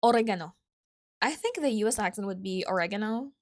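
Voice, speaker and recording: A woman's voice: medium pitch, expressive, fairly quick. Young Filipino-accented woman chatting on a podcast. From Philippines. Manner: chatty, self-correcting, with asides. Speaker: podcast host. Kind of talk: casual solo podcast storytelling.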